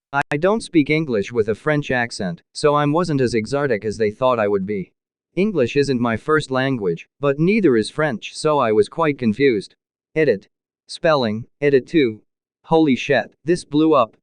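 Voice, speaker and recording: A man's voice: medium pitch, clean tone, dry recording. A man narrating forum posts aloud in a matter-of-fact reading style.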